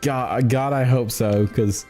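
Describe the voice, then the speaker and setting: A man's voice: medium pitch, fairly even intonation, slow pace, mellow. A man hosting a casual gaming livestream, chatting playfully and joking as he plays. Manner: playful, jokey. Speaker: livestream host playing a game. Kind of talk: casual gaming stream chat. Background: music.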